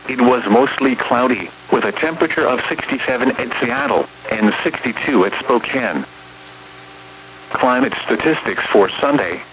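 A man's voice: medium pitch, a bit bright. A synthetic American male voice reading a scripted weather bulletin in a formal, impersonal way as an automated radio announcer. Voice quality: synthetic text-to-speech voice. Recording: noisy weather radio broadcast reception.

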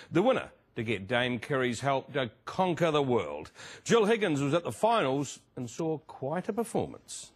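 A middle-aged man presenting a scripted introduction in a television studio. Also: on the high side. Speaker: current affairs presenter.

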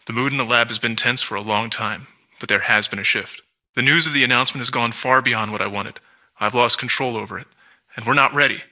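An actor playing a guarded, paranoid scientist in a video game, speaking in formal, grave, reflective monologue. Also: male voice, mid-range voice, fairly quick, pushed and bright. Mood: guarded, worried.

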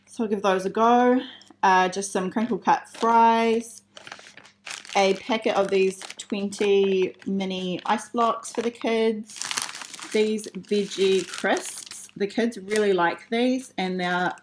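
A woman's voice: medium pitch, steady tone, slow, slight background noise, poor audio. A young woman casually chatting through her grocery haul at a kitchen table, with lots of ums and uhs. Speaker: vlogger showing a grocery haul. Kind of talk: casual chat. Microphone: phone camera held over the table.

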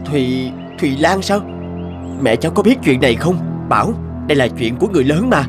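A man's voice: on the high side, lively intonation, brisk, music in the background, close to an everyday voice. An actor playing an earnest, hesitant grown man in a cartoon dub. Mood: uneasy. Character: earnest, hesitant adult man, former unruly schoolboy. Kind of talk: cartoon dubbing.

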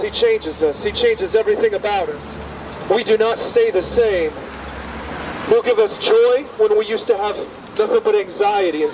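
A man in his thirties preaching earnestly at a busy outdoor bus stop, pleading directly with passers-by. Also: high voice. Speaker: street preacher. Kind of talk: open-air street preaching. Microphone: far camera, no visible mic. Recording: distant outdoor camera audio with street noise.

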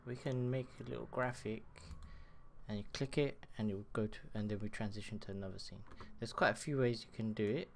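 A man in his thirties giving a casual live software tutorial from his desk, speaking through a headset and thinking aloud as he works. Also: mid-range voice, gravelly, slight background noise. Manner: slow, halting, muttering while working. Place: small room at a computer desk.

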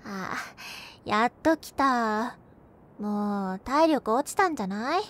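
A young woman playing a gentle, cheerful girlfriend who comforts her overworked boyfriend in an intimate audio roleplay, with a soft, playful manner. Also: fairly high pitch, animated, slow pace. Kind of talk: Japanese binaural situation-voice roleplay, spoken to the listener.

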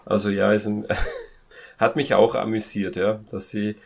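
A man's voice: on the low side, little pitch movement, unhurried, creaky. A man in a casual, humorous review chat, thinking aloud hesitantly as a podcast panelist.

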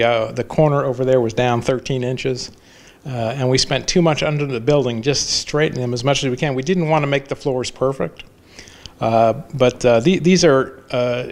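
An older man, a shop owner, chatting casually on camera as he shows his building, halting with lots of fillers and restarts. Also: mid-range voice, creaky, darkish tone. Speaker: shop owner giving a tour. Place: indoors in a trike shop with wooden floors.

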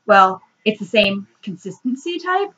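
A woman's voice: mid-range voice, big pitch swings, a little slow, bright, forceful tone, highs cut off. A teenage girl casually reviewing a toy in her bedroom, explaining each step in a chatty way, recorded on a webcam microphone. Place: bedroom, indoors, seated on the floor. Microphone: built-in webcam mic.

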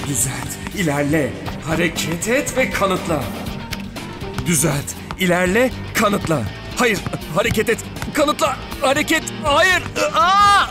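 An actor playing a cool young football striker in a cartoon, with expressive, rhythmic phrasing. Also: male voice, high voice, slow pace, over music. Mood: flustered. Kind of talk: dubbed children's cartoon dialogue.

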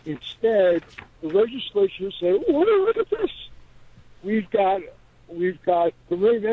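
A man's voice: high voice, husky. An older man phoning in to a radio show, speaking in a hesitant, folksy way over a telephone line. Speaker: radio phone-in caller. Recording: dull, dry telephone line.

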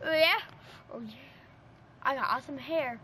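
A woman joking around on a family camping trip, silly and playful, squealing loudly at times. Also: high voice, moderate pace. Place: outdoors in a campground. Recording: noisy outdoor camcorder audio.

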